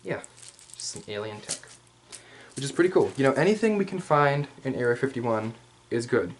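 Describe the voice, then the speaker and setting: A chatty hyena raid commander, played by a man, talks to the listener in a loose ASMR roleplay. He rambles casually in a medium-pitched voice, with slang, pauses and a playful cockiness. Noise is clearly audible behind him. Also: expressive, natural voice, poor audio. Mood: casual, boastful. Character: male hyena furry commander, chatty, playfully cocky raider.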